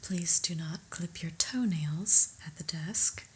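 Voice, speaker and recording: A woman's voice: lowish voice, slow, slightly rough, exaggerated voice. Whispering, unimpressed office boss played by a woman. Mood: dry disapproval. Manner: deadpan, hesitant, politely condescending. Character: exasperated, prim new female office boss, dryly disapproving. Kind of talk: whispered ASMR roleplay.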